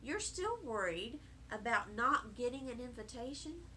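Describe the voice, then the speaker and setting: Woman playing a soft, slow-talking patient mother. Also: fairly high pitch, lively intonation, a little hiss or hum, poor audio. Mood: concerned. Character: soft-spoken, patient, coaxing mother of a little girl. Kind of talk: children's storybook read-aloud.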